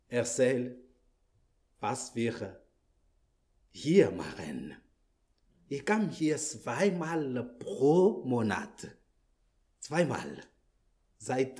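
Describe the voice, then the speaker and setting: Slow, halting man with a French accent. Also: mid-range voice, a little mellow. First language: Malagasy. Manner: halting, many fillers and restarts, searches for words. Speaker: visiting preacher. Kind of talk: church greeting and sermon opening. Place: church hall.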